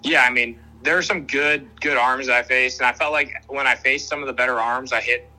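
A young man from the Boston area, a minor league ballplayer, answering interview questions over a video call in a relaxed, rambling way with lots of fillers. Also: medium pitch, fairly quick, rough recording. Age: young adult. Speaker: minor league baseball player, guest.

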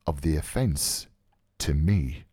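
A man's voice: a bass voice, slow pace, dark tone, dry recording, clean studio sound, voice pushed down, heavily acted. A man voicing a cold, brooding, wounded young man in an audiobook, speaking with terse, proud restraint. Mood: cold, hurt. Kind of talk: literary audiobook character voice.

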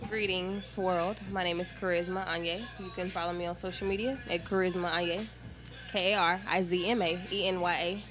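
A woman in a casual podcast chat among friends, sassy with quick comebacks. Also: on the low side, fairly even intonation, music in the background. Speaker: podcast guest.

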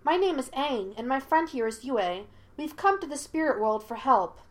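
A woman's voice: high voice, animated, moderate pace, pitched above the performer's own voice. An earnest young male hero in a fantasy audio story, played by a woman. The voice is raised and placating, that of a peacemaker.

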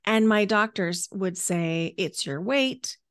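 A woman's voice: mid-range voice, slow pace, a bit bright, no room sound, clean studio sound. A 49-year-old woman speaking as a podcast guest, telling her own story conversationally and stretching key words for emphasis. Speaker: podcast guest, energy healer and coach.

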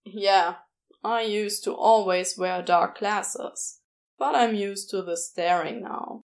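A woman voicing an awkward, self-conscious, wry man in an audio story, speaking in halting, blunt, apologetic phrases. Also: mid-range voice, gravelly, voice pushed down. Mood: resigned, wry. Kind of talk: audiobook character dialogue.

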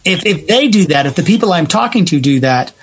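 A man with a husky voice talking casually on a video call, explaining things like a storyteller. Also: high-pitched, animated, fairly quick. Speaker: theater writer and director being interviewed.

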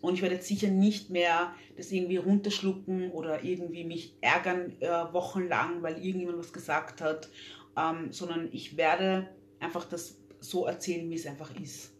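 A woman's voice: fairly low pitch, steady tone, normal speed. Woman, young adult, interview guest, earnest, echoey room, faint hum. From Austria. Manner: earnest, frequent fillers and restarts. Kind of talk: casual interview talk.

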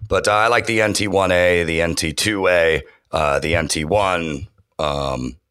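An enthusiastic man talking shop in a podcast interview, his voice sitting extremely low. He stumbles through fillers and restarts as he goes. The sound is dry and close, with no room around it. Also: a little slow, a bit bright, clean tone, dry recording. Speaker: voiceover artist and audio engineer. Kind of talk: casual interview talk.

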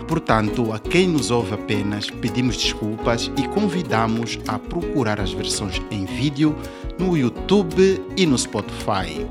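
A man hosting a podcast, giving a measured, formal scripted introduction. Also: fairly low pitch. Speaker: podcast host.

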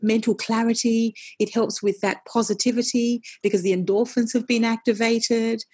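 A middle-aged woman, a wellness coach, talking in a casual interview, forceful and passionate, with many quick restarts. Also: a little high, little pitch movement, husky. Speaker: nutritionist and wellness coach, guest.

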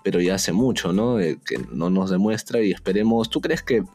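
Low-voiced hesitant male sports co-host. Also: normal speed, a little mellow, faint music behind. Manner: thoughtful, hesitant, asks questions, many fillers. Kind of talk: casual sports talk commentary.

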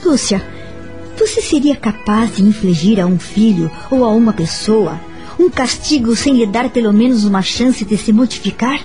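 An actress with a Brazilian accent playing a calm, kind married woman who counsels patiently in a radio soap opera. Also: on the high side, normal speed, faint music behind, natural voice. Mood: calm, instructive.

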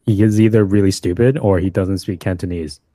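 A man's voice: low-pitched, fairly even intonation, a little fast, warm tone, dry recording, studio quality. A man in casual chat, laid-back and slangy, often starting with "dude".

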